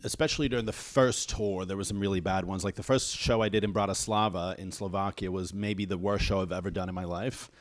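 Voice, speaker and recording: A man's voice: low-pitched, steady tone, brisk, hardly any pauses, no echo. Dutch-raised man with an American accent. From Netherlands. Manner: laughs through his stories. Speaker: comedian guest. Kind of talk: casual podcast chat.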